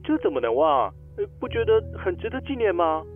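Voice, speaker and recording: A man's voice: high voice, big pitch swings, a little fast, gravelly, exaggerated voice. A man acting a caring, teasing suitor in a radio drama, heard through a telephone filter. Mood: teasing, apologetic. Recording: dull phone-like narrow band.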